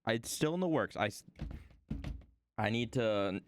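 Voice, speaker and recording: A man streaming from home while he draws, chatting about his desk microphone in a rambling, self-deprecating way, his voice a little hoarse. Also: measured pace, a little hiss or hum. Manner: rambling, self-deprecating, lively swings in pitch. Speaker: art streamer. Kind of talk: casual stream chat.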